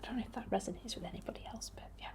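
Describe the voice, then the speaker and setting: A British woman whispering in a breathy, soothing, reassuring way, as an ASMR host leading a healing ritual. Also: racing. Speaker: ASMR host, spiritual healer.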